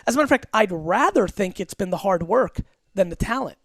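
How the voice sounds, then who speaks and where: A man as a guest on an interview podcast, talking about business in a blunt, emphatic, rapid-fire way with some profanity. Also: high voice, expressive, no echo, studio quality. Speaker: entrepreneur guest.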